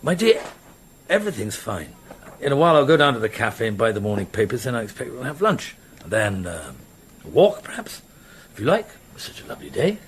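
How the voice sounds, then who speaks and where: Middle-aged detective, contented n quietly curious, voice goes up and down, hesitant murmurs, radio play, faint ambience. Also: male voice, mid-range voice, sing-song, brisk, slight background noise. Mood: contented, reassuring. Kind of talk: radio drama acting, British production.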